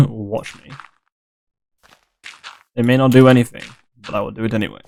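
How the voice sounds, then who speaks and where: A young man with a British accent, talking casually over a game stream. He teases his friends in a playful, easygoing way and laughs a lot. His voice sits at a middle pitch. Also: fairly even intonation, moderate pace, vocal fry, darkish tone. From Britain. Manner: playful, teasing, laughs often. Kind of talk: casual gaming chat.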